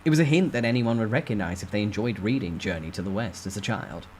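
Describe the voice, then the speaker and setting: A man reading a novel aloud as a storyteller, with expressive, theatrical emphasis in a dramatic first-person narration. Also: fairly low pitch, quick pace, a little hiss or hum.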